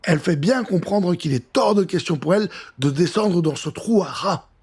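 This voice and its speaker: A man narrating a scripted children's adventure story in an expressive, suspenseful way. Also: sits high, moderate pace, slightly rough.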